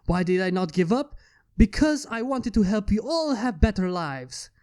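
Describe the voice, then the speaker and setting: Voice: medium pitch, very animated, moderate pace, a little mellow, close to an everyday voice. A narrator voicing a proud, idealistic male inventor making a grand, declamatory speech in a fan fiction story. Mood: proud, earnest.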